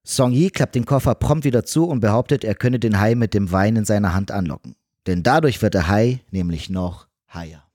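A man's voice: fairly low pitch, normal speed, hardly any pauses, a little mellow, no echo, studio quality. A man narrating a scripted film recap in a wry, tongue-in-cheek manner.

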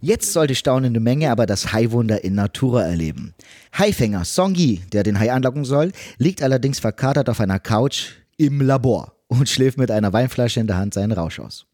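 A man's voice: medium pitch, animated, a little fast, darkish tone, no room sound, studio quality. A man narrating a scripted film recap in a wry, tongue-in-cheek manner.

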